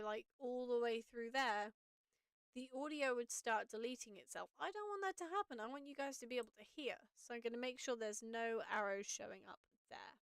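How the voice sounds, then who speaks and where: A woman with a slightly raspy but smooth, higher-pitched voice chats casually as she teaches audio editing on a live stream. She thinks aloud while she works. The sound is dry and close. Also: a bit bright, dry recording.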